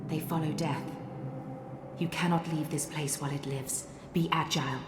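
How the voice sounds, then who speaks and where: A woman's voice: deep voice, fairly even intonation, noisy background, natural voice. Echoing, solemn female mystic mentor played by an actress. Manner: portentous, measured, speaking in riddles. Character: cryptic, solemn female mentor guiding a child through dreams. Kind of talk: scripted video game voice acting. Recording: echoing voice, loud ambience behind.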